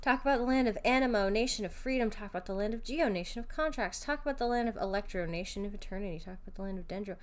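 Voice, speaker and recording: A woman livestreaming a game, commenting and reacting casually in a jokey, animated, informal manner. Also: mid-range voice, very fast, hardly any pauses. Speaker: livestreamer, vtuber.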